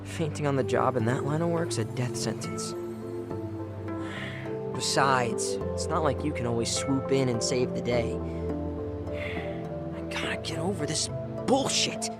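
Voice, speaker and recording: A man's voice: medium pitch, unhurried, pauses often, loud music behind the voice, natural voice. An actor playing a rebellious young man with an American accent in a game dub, casual, slangy and defiant. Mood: bitter, frank. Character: cocky, rebellious, foul-mouthed young man, troubled son. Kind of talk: video game dub acting.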